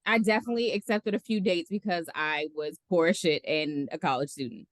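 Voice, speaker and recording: A woman's voice: on the low side, fairly quick, no room sound. A woman in her late thirties, a podcast guest giving blunt opinions with casual swearing. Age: 30s.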